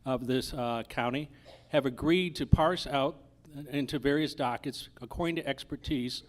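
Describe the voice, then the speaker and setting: A man's voice: medium pitch, moderate pace. A man running for judge, arguing formally and emphatically in a lawyerly way at a candidate forum. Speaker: judicial candidate at a public forum. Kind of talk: formal debate argument.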